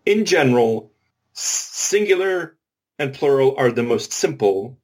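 A man's voice: medium pitch, animated, unhurried, low-quality recording. A man co-hosting a podcast, explaining methodically and informally with examples and asides. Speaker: podcast co-host. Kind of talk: informal explanatory discussion of linguistics.

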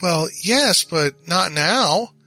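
A little six-year-old girl, cautious and quick to take offense, acted by a man reading a story. The voice is pushed well above his own and keeps a husky grain. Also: high-pitched, expressive, pushed and bright, dry recording, soft background music, voice pushed up, full character voice. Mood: wary, childlike. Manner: childlike, wary.

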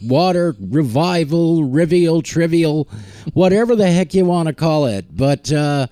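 A man's voice: fairly high pitch, measured pace, dark tone, clear, resonant tone. A man hosting a music podcast, chatting casually and joking as he introduces songs. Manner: joking, rambling, self-mocking. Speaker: podcast host.

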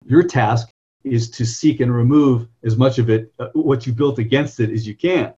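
Husky-voiced rambling man, muffled audio. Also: mid-range voice, normal speed, dark tone. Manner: rambling, self-correcting, anecdotal. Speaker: guest, software entrepreneur. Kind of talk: conversational interview storytelling.

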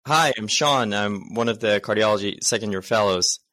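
Guy in his 30s from malaysia, lowish voice, conversational doctor chat, dry audio. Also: moderate pace, sharp tone, dry recording. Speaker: cardiology fellow, podcast guest. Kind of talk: conversational medical presentation and chat.

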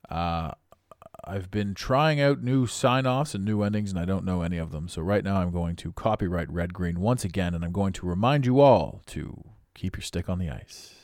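A middle-aged man with a raspy voice, hosting a podcast with a blunt, preachy motivational monologue. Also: deep voice, normal speed, a little mellow, no echo, studio quality. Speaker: podcast host.

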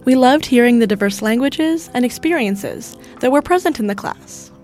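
A woman hosting, reading a scripted narration with a clear, even presenter delivery. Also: a little high, moderate pace, soft background music. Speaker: podcast host.

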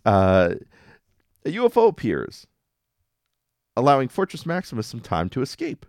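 A man's voice: mid-range voice, wildly swooping pitch, measured pace, dry recording, studio quality. A man hosting a podcast, giving a casual, wry and playful episode recap with dramatic emphasis. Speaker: podcast host.

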